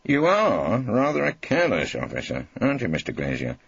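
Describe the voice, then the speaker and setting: A man's voice: lowish voice, huge pitch swings, brisk, gravelly, fairly bright tone, breathy edge, no room sound, dull audio, pitched above the performer's own voice, full character voice. A man voicing a combative, irreverent old English barrister in an audiobook, theatrical and relentless, with sardonic cross-examining. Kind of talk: audiobook character acting.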